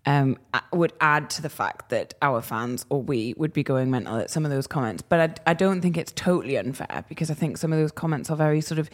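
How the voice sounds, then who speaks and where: A woman's voice: low-pitched, a little fast, hardly any pauses. A woman on a football podcast, speaking hesitantly with frequent ums. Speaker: podcast panelist.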